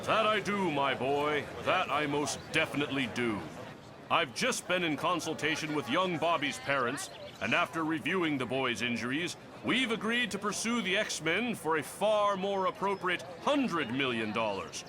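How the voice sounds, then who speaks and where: An actor playing a pompous, genial elderly politician in a motion comic, with a street crowd behind him. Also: male voice, on the high side, animated, normal speed, natural voice. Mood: self-satisfied. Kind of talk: dramatic motion comic voice acting.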